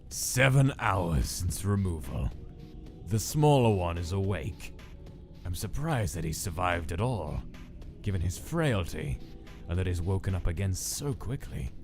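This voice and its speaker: A cold, clinical scientist dictating his experiment notes, performed by a man in a fan comic dub. His voice is very low and measured, and his intonation rises and falls widely. Music runs underneath. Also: big pitch swings, exaggerated voice. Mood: detached.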